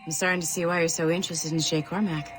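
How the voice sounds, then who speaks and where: An actress playing a wry, brisk tech specialist in a video game, talking in a casual, sardonic way. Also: female voice, low voice, little pitch movement, music in the background, natural voice. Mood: wry, approving. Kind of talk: scripted video game dialogue. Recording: long echoing hall reverb.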